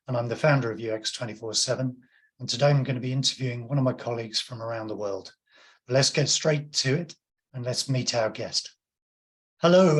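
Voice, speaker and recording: A man hosting an interview as the company's founder, asking questions in a friendly way with filler hesitations. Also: medium pitch. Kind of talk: interview host.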